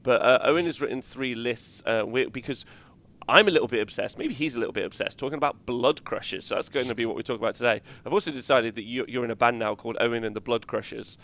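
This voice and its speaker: A man hosting a live stream chat, enthusiastic and talking in quick bursts. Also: mid-range voice, fairly bright tone. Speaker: host.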